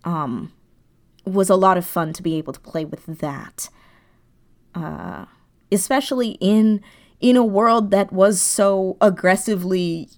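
Woman, interview guest, unhurried pace, effusive, giggly, halting bursts, mid-range pitch, casual chat. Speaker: interview guest, audio drama creator.

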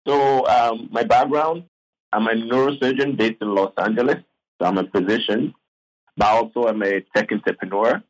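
A middle-aged man from Ghana, a neurosurgeon, answering as a radio guest in a calm, matter-of-fact way. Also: mid-range voice, moderate pace, poor audio. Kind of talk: radio interview.

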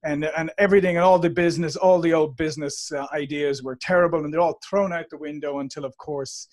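Animated Irish man from Dublin. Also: on the high side, quick pace. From Ireland. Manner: animated, restarts sentences, frequent "uh". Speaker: podcast guest. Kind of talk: conversational interview.

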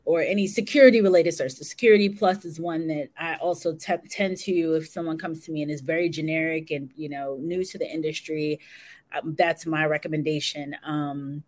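A woman hosting a live chat, talking in a lively, friendly way and laughing easily. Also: low voice, fairly quick. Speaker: host. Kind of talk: casual live stream chat.

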